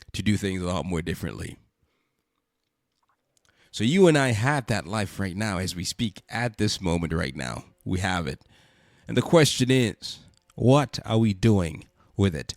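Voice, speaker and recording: A man's voice: lowish voice, darkish tone, dry recording, studio quality. Congested man with an earnest podcast voice. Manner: earnest, preachy, repeats rhetorical questions. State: congested from a cold. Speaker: podcast host. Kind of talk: reflective spoken monologue.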